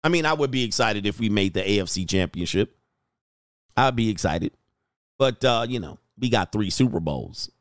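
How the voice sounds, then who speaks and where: A man's voice: on the low side, expressive, moderate pace, no echo, studio quality. A man hosting a casual livestream as a dating coach, reading viewer comments aloud in a joking, rambling way and laughing often. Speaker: dating coach and show host.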